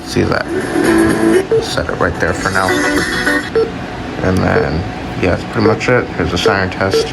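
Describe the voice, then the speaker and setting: A man giving a casual, unscripted show-and-tell as a video host, speaking in a plain, offhand, halting way. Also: deep voice, vocal fry, over loud music, rough recording. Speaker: video host showing a collection. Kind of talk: casual unscripted product showcase. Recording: loud steady ambient hum and hiss.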